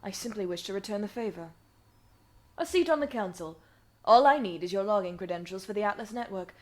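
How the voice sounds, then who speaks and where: A woman's voice: medium pitch, expressive, fairly quick, darkish tone, natural voice. A woman voicing a smug, resentful genius scientist villainess in an animation fandub, with a haughty, articulate, theatrical delivery. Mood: smug, scheming. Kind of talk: dramatic animation fandub acting.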